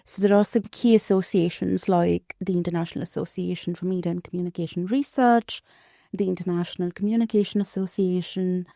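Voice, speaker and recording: Woman from India, non-native English, measured and reflective guest on a dry-miked podcast. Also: on the low side, fairly even intonation, gravelly, mellow, dry recording. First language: not English. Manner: thoughtful, many ums. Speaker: guest researcher. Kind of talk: casual podcast conversation.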